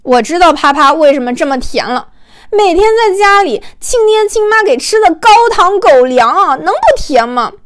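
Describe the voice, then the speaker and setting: A woman voicing excitable young livestream fans, gushing and teasing in exclamations, for an audiobook. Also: very high voice, moderate pace. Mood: excited gushing.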